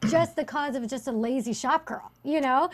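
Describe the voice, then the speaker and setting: A woman's voice: high voice, moderate pace. A woman hosting a talk show, presenting news items and discussing them with a panel.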